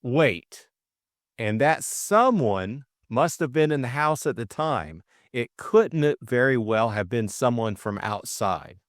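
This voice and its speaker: A man voicing a breezy, reasoning young gentleman sleuth in an audiobook. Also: medium pitch, expressive, slow pace, no echo, clean studio sound. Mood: brisk. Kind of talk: audiobook character dialogue.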